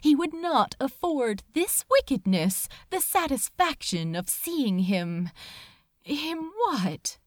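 Slow, expressive woman reading fiction. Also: high voice, no room sound, studio quality. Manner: emotive, deliberate, dramatic pauses. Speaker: audiobook reader. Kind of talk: dramatic scripted fiction narration.

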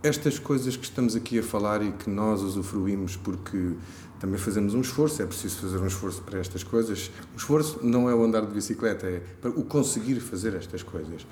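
A man with a very low voice asks long, rambling questions, often repeating himself as he searches for words. The room around him is echoey. A faint background noise runs under his voice. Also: quick pace, darkish tone. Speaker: interviewer, host. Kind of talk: casual interview questions.

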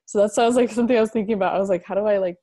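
A young woman around twenty, co-hosting a podcast, chatting casually and quickly with frequent laughs. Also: mid-range voice, fairly even intonation, dark tone, no room sound, studio quality. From United States. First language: Punjabi. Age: 20s. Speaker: podcast co-host. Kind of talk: casual chat.

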